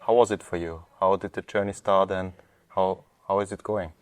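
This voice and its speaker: A man's voice: sits low, steady tone, moderate pace, a little mellow, no room sound. A young man from Austria, a university student, hosting an interview and asking polite, slightly formal prepared questions. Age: young adult. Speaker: student host.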